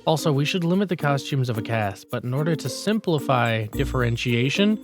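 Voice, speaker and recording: A man's voice: mid-range voice, expressive, brisk, music in the background, natural voice. A man voicing a lazy, blunt senior office worker in a manga story, grumbling and critical in a matter-of-fact way. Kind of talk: manga dialogue voice acting.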